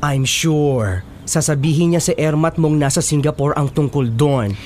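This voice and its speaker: A woman's voice: low voice, normal speed, dark tone, slight background noise, lightly acted. A woman playing a chatty, campy college friend who gossips in theatrical slang in a Filipino radio drama. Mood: gossipy.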